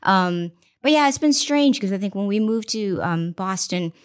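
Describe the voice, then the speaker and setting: A woman investor giving a candid, reflective podcast interview, often pausing with ums. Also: mid-range voice, lively intonation, clear, resonant tone. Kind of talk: conversational interview. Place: studio interview.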